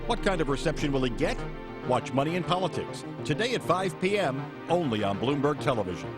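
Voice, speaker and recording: A man's voice: a little high, big pitch swings, fairly quick. A man reading a scripted television programme promo as an announcer. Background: music.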